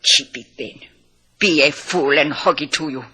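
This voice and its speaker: An actress playing a crook's proud, hostile wife in an old radio drama, in stiff, dramatic English with a Spanish accent. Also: female voice, sits low, measured pace, pushed and bright, slight background noise. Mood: bitter, demanding. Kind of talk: 1950s radio drama acting.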